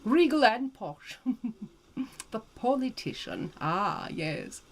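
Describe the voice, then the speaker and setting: A woman's voice: a little high, big pitch swings, slow pace, faint music behind, pitched above the performer's own voice, exaggerated voice. A woman playing a genteel, ageless Southern lady more than a century old, chatting theatrically and effusively in an old-fashioned American Southern accent. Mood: delighted, chatty. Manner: posh, theatrical, drawling, effusive. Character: genteel ageless Southern woman over 150, playfully flirtatious. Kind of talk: in-character live-stream chat and storytelling.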